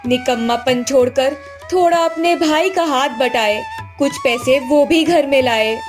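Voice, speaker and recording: A woman voicing a caring, anxious mother of grown sons in a cartoon moral story, gently pleading. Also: high-pitched, unhurried, music in the background. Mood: worried.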